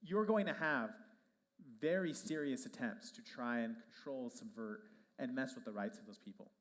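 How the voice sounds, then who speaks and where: A man's voice: mid-range voice, normal speed. A man speaking on a panel in a casual manner, full of "like" and "um". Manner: casual, lots of "like" and "um". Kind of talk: panel discussion.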